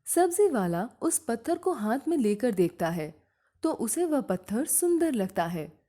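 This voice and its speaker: High, lively woman telling a story. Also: a little slow. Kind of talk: scripted moral-story narration.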